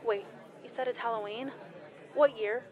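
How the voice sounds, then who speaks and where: A woman plays a casual female caller in an audio comedy drama, with a fairly high voice. She is offhand, a bit exasperated and apologetic. The line is muffled, with faint chatter behind. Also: fairly even intonation, normal speed, close to an everyday voice.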